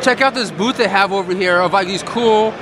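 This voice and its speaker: High-voiced excitable man vlogging a convention. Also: brisk, noisy background. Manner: excited, chatty, lots of "like" and "you know". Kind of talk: casual convention vlog. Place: large convention hall, indoors.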